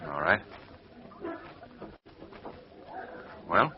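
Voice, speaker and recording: A man's voice: low voice, very animated, brisk. An American actor playing a wary, sardonic man suspected of being a missing heir, in 1940s radio mystery style with clipped delivery. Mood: guarded. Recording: muffled, noisy vintage radio recording.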